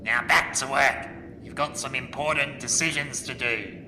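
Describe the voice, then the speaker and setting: A man's voice: mid-range voice, fairly even intonation, normal speed, lightly acted. A man playing an insistent, shifty kitchen colleague in an audio drama. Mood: brisk, reassuring. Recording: noisy room ambience behind.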